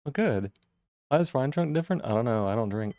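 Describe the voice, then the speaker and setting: A man with a rough, mellow voice talks casually with his live chat, greeting regulars by name with bright upward lifts. The sound is dry and close, with no room around it. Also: animated, brisk, no room sound. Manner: friendly, greets chat members by name, jumps up in pitch on greetings. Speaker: streamer.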